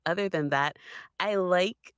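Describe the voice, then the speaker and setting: A woman's voice: fairly low pitch, a little slow, clean tone, no room sound, clean studio sound. A woman chatting casually as a panelist, expressively acting out imagined quotes. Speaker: podcast panelist. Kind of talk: casual chat.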